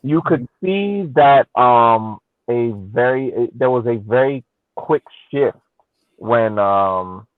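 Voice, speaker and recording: A man on a sports podcast panel giving casual analysis, haltingly searching for his words, over a thin connection. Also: medium pitch, expressive, no room sound. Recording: tinny phone-like connection, dry.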